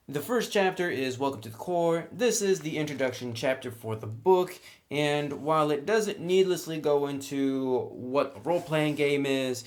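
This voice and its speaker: A man giving a casual, conversational review narration as a reviewer of tabletop game books. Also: mid-range voice.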